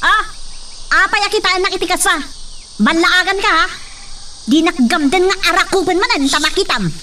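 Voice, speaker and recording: A woman's voice: very high voice, moderate pace. A woman playing a defiant, anguished young daughter in a melodramatic radio drama, emotional and protesting. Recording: distant voice, faint background noise.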